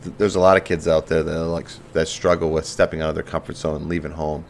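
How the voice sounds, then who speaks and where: A man hosting a podcast, chatting in a relaxed, frank way with the odd curse. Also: a bass voice, fast talker, vocal fry, a little hiss or hum. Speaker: podcast host. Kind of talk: casual interview chat.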